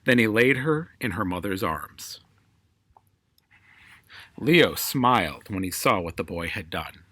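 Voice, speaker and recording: A man's voice: lowish voice, expressive, takes it slowly, bright, forceful tone, dry recording. Man reading a children's story aloud.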